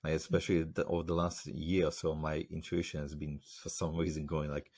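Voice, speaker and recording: A man's voice: extremely low pitch, little pitch movement, a little fast, darkish tone. A man from Slovakia, hosting a podcast and telling a story in loose, rambling casual talk full of fillers. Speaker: podcast host. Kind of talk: casual interview chat.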